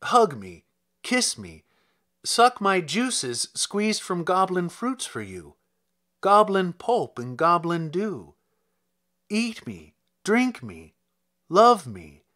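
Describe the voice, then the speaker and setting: Storybook heroine, male reader: young, brave, affectionate, emphatic, highly animated intonation. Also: slow pace, exaggerated voice. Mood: jubilant, urgent. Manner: urgent, emphatic, dramatic. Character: brave, eager, loving young woman in a fairy-tale poem.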